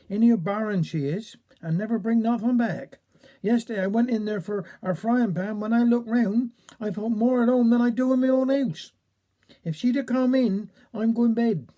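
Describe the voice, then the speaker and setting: A woman's voice: a little high, dark tone, close to an everyday voice. A woman storyteller voicing a gossipy, sly village mother in homely Cornish dialect. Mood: grumbling.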